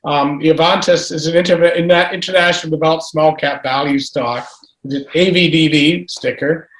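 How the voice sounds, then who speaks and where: A man's voice: fairly high pitch, fairly even intonation, normal speed, a bit bright, reverberant. A man, a financial journalist, talking in a hesitant, rambling way with frequent restarts on a video call. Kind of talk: casual panel discussion.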